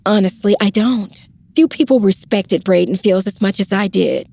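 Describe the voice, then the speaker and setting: An actress playing an ambitious, composed TV reporter in an audio drama, earnest and measured. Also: female voice, medium pitch, gravelly, mellow, breathy edge, no room sound. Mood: wistful, earnest.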